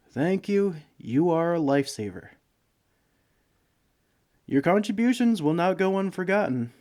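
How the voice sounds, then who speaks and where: A man's voice: fairly high pitch, mellow. A man playing a bumbling, broke villain neighbor in a comedic audio roleplay, speaking in a hesitant, pleading, self-justifying way. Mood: ingratiating. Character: broke, bumbling, sheepish male supervillain neighbor begging for sugar. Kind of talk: comedic ASMR roleplay monologue.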